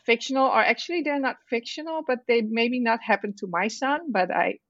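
A woman in her fifties with a Dutch accent, a children's book author being interviewed, talking in a halting way with many fillers and restarts. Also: fairly high pitch, a bit bright, no echo, lo-fi sound. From Netherlands. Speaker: author guest. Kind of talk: casual interview chat.

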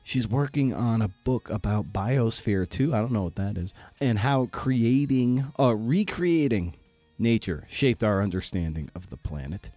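A man's voice: lowish voice, expressive, measured pace, mellow, dry recording, faint music behind. A man hosting a podcast in a casual, playful and informal way, rambling a little as he talks. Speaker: podcast host. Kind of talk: casual conversational interview with scripted introduction.

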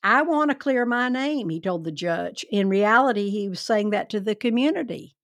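A woman hosting a true crime podcast, telling the case in a chatty, animated, wry way. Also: medium pitch, normal speed, dry recording. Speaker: podcast host.

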